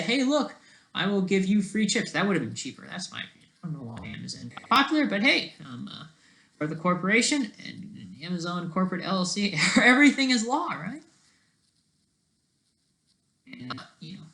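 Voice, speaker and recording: A person giving rambling off-the-cuff commentary in a halting, sarcastic way, restarting mid-thought and often checking with a questioning tag. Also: mid-range voice, sing-song, gravelly, pushed and bright.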